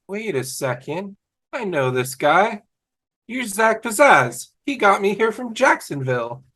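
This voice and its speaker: An amateur actor playing a dim, excitable young man with a husky voice in a comedy table read. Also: mid-range voice, measured pace, exaggerated voice. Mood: excited recognition.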